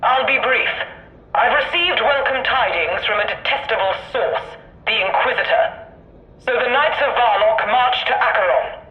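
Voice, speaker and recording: Noblewoman war pilot for game female actor, brisk, composed, echoey muffled radio sound. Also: on the high side, slight background noise, lightly acted. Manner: formal, composed. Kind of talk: dramatic game cutscene acting.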